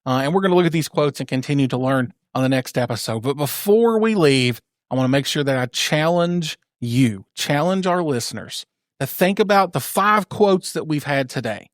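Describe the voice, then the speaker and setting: A man hosting a podcast, steady and affirming, wrapping up and steering the show. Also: on the high side, normal speed, dry recording, clean studio sound. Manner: steady, affirming host who keeps the show moving. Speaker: podcast host. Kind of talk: casual podcast chat with a scripted outro.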